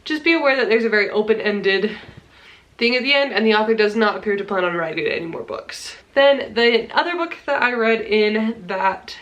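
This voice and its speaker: A woman's voice: mid-range voice, lively intonation, moderate pace, fairly bright tone, lo-fi sound. A young woman with a stuffy nose from a cold, chatting casually about her month's reading at home, with many ums and small laughs. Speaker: book vlogger. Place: home room full of plants.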